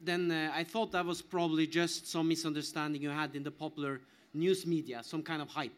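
A man's voice: a little high, fairly even intonation, a little fast. A man speaking off the cuff as an academic guest in a discussion, often restarting his phrases. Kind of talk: spontaneous panel explanation.

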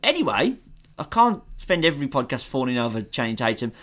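A man's voice: mid-range voice, sing-song, brisk, fairly bright tone. A man, co-hosting a podcast and chatting casually about films with strong, eager opinions. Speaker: podcast co-host. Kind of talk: casual film chat.

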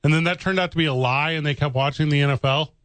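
A man from the St. Louis area with a fairly high voice riffs and cracks jokes on a casual sports podcast. His voice sits close and dry, with no room sound. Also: fast talker, fairly bright tone, no echo. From St. Louis area, USA. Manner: joking, riffing storyteller. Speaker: podcast co-host.

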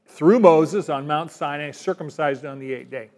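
A man's voice: a little high, moderate pace, darkish tone. A man preaching a sermon in an emphatic way, often asking the listeners rhetorical questions. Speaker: pastor.

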